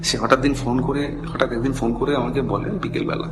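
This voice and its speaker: A middle-aged man tells a personal story in a conversational, halting way, mixing in English phrases. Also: medium pitch, flat delivery. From India. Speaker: caller telling a personal ghost story. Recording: background music under the voice. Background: loud music.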